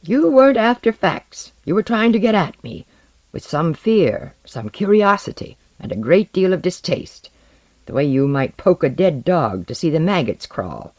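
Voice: mid-range voice, sing-song, normal speed, dry recording, voice pushed up, poor audio. A narrator voicing an arrogant, exasperated man who spits out contempt in a story reading. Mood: exasperated disgust. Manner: contemptuous, shouting outbursts. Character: high-voiced, venomous, exasperated man, an arrogant outcast space surveyor.

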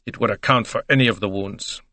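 A man's voice: fairly low pitch, brisk, sharp tone, no room sound. Man voicing a reasonable, matter-of-fact doctor. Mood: assured.

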